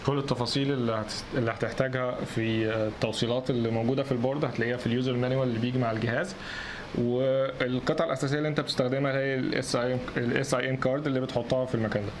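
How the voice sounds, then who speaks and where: Man, Egyptian accent, extremely quick speech, stammered restarts, informal technical instructions, noticeable background noise. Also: medium pitch, flat delivery. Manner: stammering, restarts words often. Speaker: co-presenter relaying instructions.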